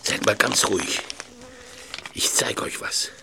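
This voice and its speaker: A man's voice: takes it slowly, noisy background, lightly acted. A man playing an eccentric animal lover in a German audio drama, tender with creatures and stubborn with people. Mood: tender, excited.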